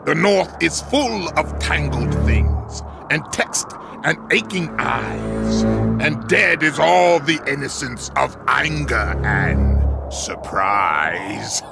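A man voicing a brooding, menacing villain warlord in a game, deliberate and ominous. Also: on the high side, expressive, exaggerated voice. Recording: music clearly under the voice.

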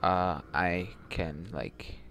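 Slow-talking young man gaming. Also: low-pitched, steady tone, a bit bright, a little hiss or hum. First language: Swedish. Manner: muttering, reacting to a horror game. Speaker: gamer. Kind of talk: let's play commentary. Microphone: headset mic.

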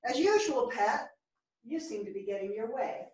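An actress as a bookish old woman, dryly sardonic and morbid. The voice is fairly high and rings around a theatre, picked up from far off. It is a stage reading. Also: animated, moderate pace, a little mellow, slight background noise, lightly acted. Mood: dry, wry. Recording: echoey theatre, distant microphone.

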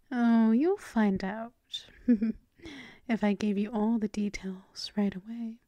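A woman voicing a seductive, dominant vampire mistress in a breathy, teasing, mostly whispered ASMR roleplay. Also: a little high, dark tone, no room sound. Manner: breathy, slow, teasing, coaxing. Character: seductive, dominant vampire mistress doting on her pet thrall.

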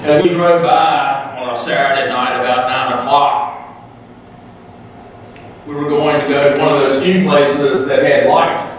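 A man's voice: medium pitch, steady tone, unhurried, far from the mic, a little hiss or hum. Man preaching in an echoey sanctuary. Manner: folksy storytelling with dry humor. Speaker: pastor. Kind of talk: sermon. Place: large church sanctuary. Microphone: far camera, room pickup.

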